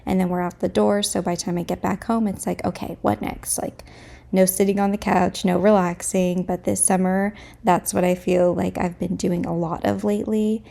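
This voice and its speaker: A woman in her thirties, a mother vlogging at home, chatting candidly to the camera, her voice a bit raspy and stuffed up. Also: lowish voice, flat delivery, moderate pace, warm tone. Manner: candid and chatty, thinking aloud. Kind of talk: casual chat to camera.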